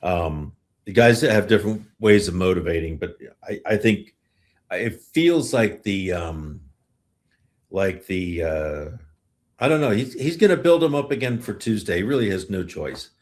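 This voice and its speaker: A man hosting a casual sports podcast, speaking informally and haltingly as he works out his thoughts. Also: low-pitched, animated.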